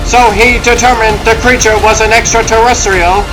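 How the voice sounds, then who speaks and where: A man's voice: high voice, fairly even intonation, moderate pace, music in the background, low-quality recording. A man giving scripted, dramatic narration of a superhero comic.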